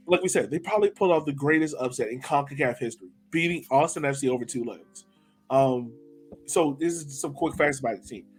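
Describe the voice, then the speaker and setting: A male podcast host reading out quick facts in a casual, easygoing way. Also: mid-range voice, rough recording. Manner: easygoing, reads out facts with light laughs.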